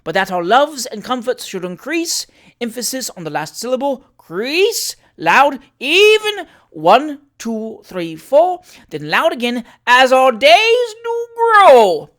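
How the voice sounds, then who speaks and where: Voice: mid-range voice, very animated, measured pace, few pauses, pitched above the performer's own voice. A reader voicing a jovial, rich old uncle in a storybook, declaiming and correcting with great emphasis. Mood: hearty, emphatic.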